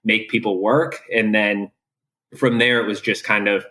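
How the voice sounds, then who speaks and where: A young American man speaking as a guest in an interview, relaxed and candid, with many filler words. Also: lowish voice, unhurried. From USA. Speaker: guest, professional triathlete. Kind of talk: casual interview chat.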